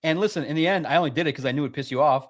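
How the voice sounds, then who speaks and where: A man's voice: fairly high pitch, rapid-fire. A man arguing his case as a guest on a sports talk show, needling and emphatic. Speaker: guest pundit. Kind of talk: casual sports debate.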